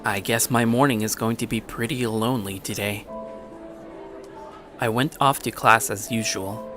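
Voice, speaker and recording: An actor playing an anxious, earnest teenage schoolboy who narrates an anime-style story in a conversational, introspective way. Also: male voice, lowish voice, steady tone, normal speed, over music, natural voice. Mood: resigned, reflective. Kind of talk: anime-style audio story, first-person scripted narration.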